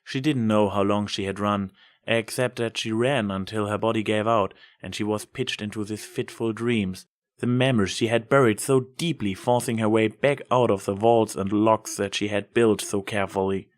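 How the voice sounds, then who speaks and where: A man's voice: fairly low pitch, fairly even intonation, normal speed. A man narrating fiction from a script as a dramatic story reader.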